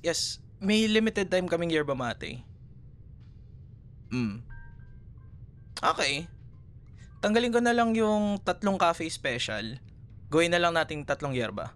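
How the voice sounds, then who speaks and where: A man's voice: a little high, sing-song, slow, long pauses, a bit bright, heavily acted. A Filipino man playing a chatty, teasing café worker in a casual, naturalistic boyfriend roleplay audio. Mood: polite, businesslike. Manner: chatty, playful, casual conversational.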